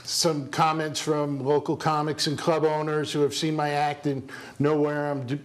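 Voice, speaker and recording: Male comedian guest, dry and self-deprecating, slightly high voice. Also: level tone, normal speed. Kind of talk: casual chat, telling stories.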